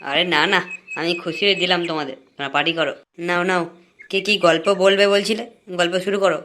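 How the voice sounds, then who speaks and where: A man's voice: high-pitched, fast, pushed and bright, slight background noise. A man voicing a cagey, money-minded young informer in a comic cartoon, wheedling and chatty. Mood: boastful, generous.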